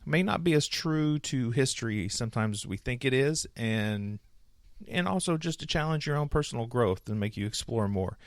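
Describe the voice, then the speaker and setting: A man from the United States hosting a casual podcast interview, upbeat and chatty, quick to laugh. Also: mid-range voice, lively intonation, no room sound, clean studio sound. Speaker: podcast host.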